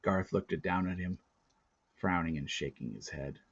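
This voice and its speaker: A man reading a storybook aloud as a dramatic narrator, with deliberate, theatrical phrasing and a casual touch. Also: low voice, creaky, fairly bright tone, husky, muffled recording. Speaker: storybook reader.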